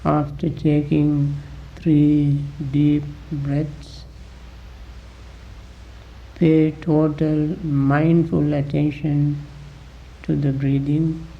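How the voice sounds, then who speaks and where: A man with a gravelly voice gives guided meditation instruction as a teacher, deliberately, in short phrases with long pauses, on a dull recording. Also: fairly high pitch, completely flat delivery.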